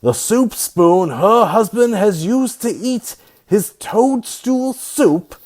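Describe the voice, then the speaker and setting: A man playing an authoritative, methodical police sergeant in an old-time radio drama, questioning deliberately. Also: high-pitched, expressive, a little mellow. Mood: quietly triumphant. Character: brisk, methodical male police homicide sergeant. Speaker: actor.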